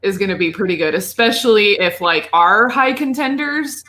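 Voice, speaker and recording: Female gaming podcast co-host: bright voice, casual, enthusiastic, rambling delivery. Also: medium pitch, animated, moderate pace.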